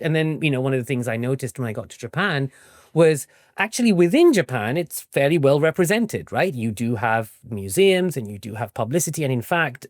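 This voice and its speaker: A man's voice: mid-range voice, no room sound, clean studio sound. A man in his forties from England, explaining things conversationally as an interview guest, enthusiastic and often seeking agreement. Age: 40s. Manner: enthusiastic, often checks with "right?". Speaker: interview guest, researcher and author.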